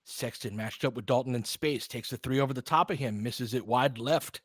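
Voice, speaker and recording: A man calling basketball play-by-play as a fan commentator, clipped and quick, breaking into excited bursts and short laughs. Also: medium pitch, husky, no room sound, clean studio sound.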